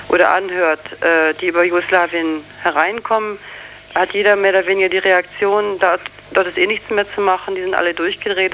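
A spokeswoman explaining in an interview, halting and searching for words. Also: lowish voice, fairly bright tone, a little hiss or hum, low-quality recording. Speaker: campaign spokeswoman being interviewed.

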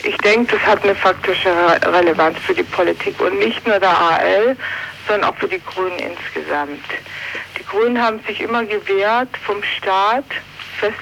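Man, high voice, deliberate halting phrasing, political radio interview, noisy 1980s recording. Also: vocal fry, pushed and bright. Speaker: interviewed political party member.

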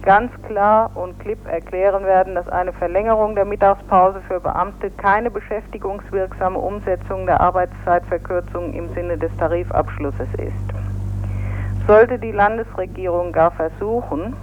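A woman, a union press spokesperson, giving a measured, formal statement in a phone interview. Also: mid-range voice, steady tone. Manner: formal, measured, legalistic phrasing. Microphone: telephone. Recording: tinny phone line with faint hiss.

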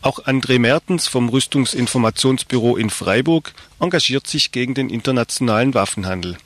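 A man's voice: mid-range voice, brisk, a bit bright. A man reading a scripted news report as a radio presenter.

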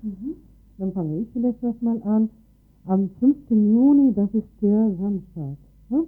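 A woman co-hosting a radio news show, making unscripted announcements in a halting way, searching for words. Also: medium pitch. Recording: lo-fi phone-like radio, music behind.

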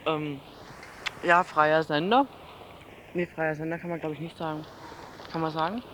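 Hesitant high-voiced man. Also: steady tone, moderate pace, fairly bright tone, poor audio. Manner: hesitant, thinking aloud. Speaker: passer-by being interviewed. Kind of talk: casual spontaneous street chat. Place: outdoors on a busy street. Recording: faint street noise behind.

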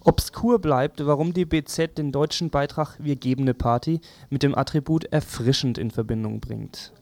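Dry-sounding male radio presenter, medium pitch. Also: moderate pace, gravelly, a little mellow, no room sound. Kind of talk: scripted press review narration.

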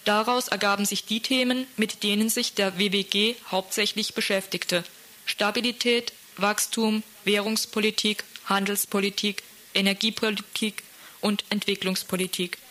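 A woman's voice: medium pitch, fairly even intonation, moderate pace, pushed and bright. A woman presenting on radio, reading out a scripted news report.

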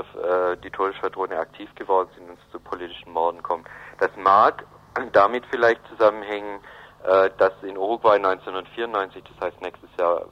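A man giving a hesitant, analytical explanation in an unscripted radio interview, often pausing and rephrasing. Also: lowish voice. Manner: hesitant, halting, with frequent ums and rephrasing. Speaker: interviewee explaining South American politics. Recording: dull, band-limited radio recording.

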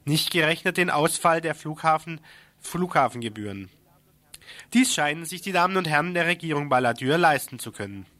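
A man's voice: on the high side, sharp tone, dry recording. A man reading a scripted radio news report, with a critical, ironic edge in his wording.

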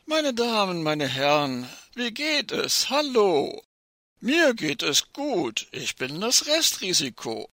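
A man's voice: high-pitched, huge pitch swings, bright, forceful tone, dry recording, heavily acted. A man playing danger personified as a sinister, falsely courteous guest, reciting satirical verse theatrically, slyly savouring each phrase. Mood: gleefully inviting. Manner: mock-polite, sly, deliberate, savouring each phrase.

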